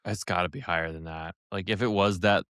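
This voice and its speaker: A man's voice: deep voice, steady tone, a little fast, fairly bright tone, smooth, dry recording, clean studio sound. A man co-hosting a podcast in casual chat, offhand and wry. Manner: offhand, wry, matter-of-fact. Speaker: podcast co-host.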